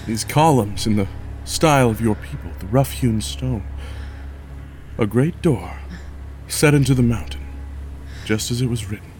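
A man's voice: mid-range voice, a little slow, pauses often, a little mellow, slight background noise, natural voice. An actor playing a proud, persuasive king in a fantasy horror audio drama, speaking in a commanding, coaxing, theatrical way. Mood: grand, intent. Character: proud, persuasive king, husband to an expectant wife.

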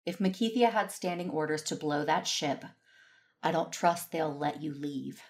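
A woman's voice: sits low, moderate pace, a little mellow. A woman playing a stern, protective older sister, a warrior in an audio drama. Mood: firm, resigned.

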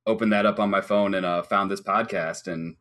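A man's voice: sits low, steady tone, brisk, a bit bright. A man guesting on a casual podcast, talking eagerly and enthusiastically.

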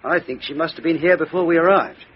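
A man's voice: fairly high pitch, fast, breathy edge. An actor playing a dutiful young police sergeant who reports politely to his superior. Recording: dull radio recording with faint noise.

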